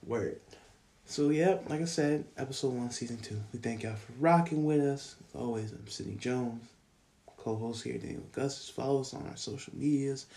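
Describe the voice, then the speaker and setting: A man's voice: mid-range voice, normal speed, warm tone. A young man co-hosting a podcast, chatting casually in slang and laughing often. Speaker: podcast co-host.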